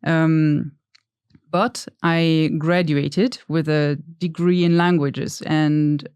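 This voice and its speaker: A woman's voice: deep voice, steady tone, very slow, a little mellow, clear, resonant tone, dry recording. Woman on a dry close mic. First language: German. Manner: thoughtful, hesitant, self-deprecating. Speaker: podcast guest. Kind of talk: casual interview chat.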